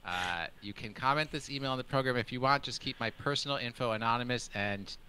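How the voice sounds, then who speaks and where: A man's voice: medium pitch, fast, bright, forceful tone, slight background noise. A man hosting a casual podcast over a video call, chatting and reading out a listener's email with laughing, self-deprecating asides. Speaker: podcast host.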